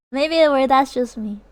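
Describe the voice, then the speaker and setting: A woman's voice: high-pitched, darkish tone. A young woman streamer whose first language is Chinese, chatting casually with viewers, rambling with lots of fillers and laughter. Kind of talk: casual chat reading viewer comments.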